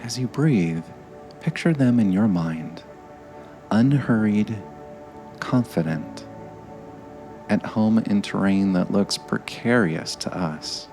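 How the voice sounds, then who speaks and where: A man narrating a scripted guided meditation in a gentle, measured way, with frequent pauses. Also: fairly low pitch, animated, faint music behind.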